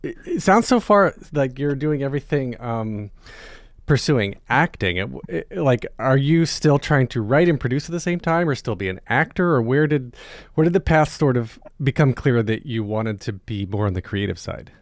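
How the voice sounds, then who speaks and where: A man's voice: medium pitch, animated, moderate pace, dry recording, studio quality. A man hosting a podcast interview casually, building his remarks and questions in rambling fragments.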